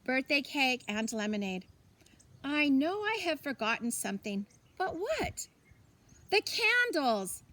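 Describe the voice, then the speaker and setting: A woman's voice: high voice, sing-song, slow, bright, forceful tone, exaggerated voice. A woman voicing a cheerful, forgetful Mexican grandmother in a children's storybook, exclaiming and musing aloud with Spanish phrases mixed in. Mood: musing, proud. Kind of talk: children's storybook character reading.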